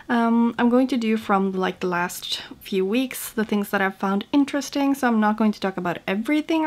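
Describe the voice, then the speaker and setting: Chatty young woman with a Norwegian accent. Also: fairly high pitch. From Norway. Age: young adult. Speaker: beauty vlogger. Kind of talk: casual chat to camera. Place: small home room indoors.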